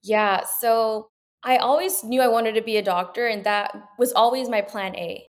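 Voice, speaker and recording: A woman's voice: on the high side, moderate pace. Earnest young woman telling her story. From Peru. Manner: earnest, rambling with fillers and restarts. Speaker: guest, medical student. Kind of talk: conversational interview.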